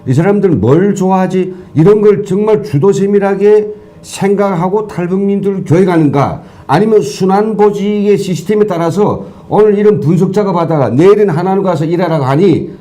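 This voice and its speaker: A man with a somewhat high pitch for a man speaks as a talk show guest. His voice is mellow. He explains points earnestly, in a lecturing way. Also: normal speed. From North Korea. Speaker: guest, former professor.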